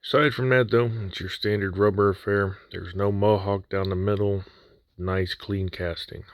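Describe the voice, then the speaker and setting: Low-voiced male hobbyist, laid-back and wry, voice slightly worn, dry close-mic voice-over. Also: steady tone, normal speed, no echo. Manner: casual, dry humor. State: recovering from near voice loss. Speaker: scale model builder. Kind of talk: voice-over unboxing walkthrough.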